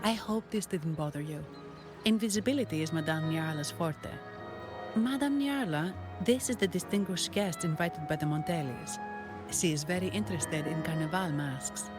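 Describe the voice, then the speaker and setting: An actress playing a composed, courteous guide in a video game, polite but frank. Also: female voice, sits low, moderate pace, music in the background, close to an everyday voice. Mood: dry, polite.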